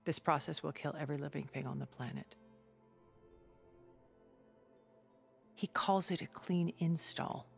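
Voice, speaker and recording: Game casting: cultured immortal woman, low register, genteel, seductively persuasive, coolly superior; faint score underneath. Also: vocal fry, a bit bright, heavily acted. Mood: quietly insistent. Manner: formal, genteel, measured phrasing. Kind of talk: cinematic video game cutscene acting. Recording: background music under the dialogue.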